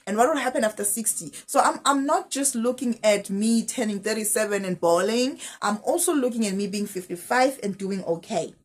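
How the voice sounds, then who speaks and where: A woman's voice: medium pitch, brisk, few pauses. A woman, a teacher giving direct money advice in a casual vlog, asking her viewers question after question. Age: 30s. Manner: direct, advising, piles up rhetorical questions. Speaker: teacher and finance vlogger.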